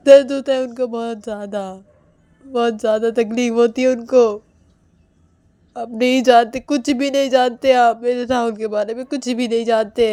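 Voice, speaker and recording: A woman voicing a fierce young wife who loudly and insistently repeats her threats to protect her husband. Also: on the low side, level tone, fast, breathy edge, no echo. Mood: furious.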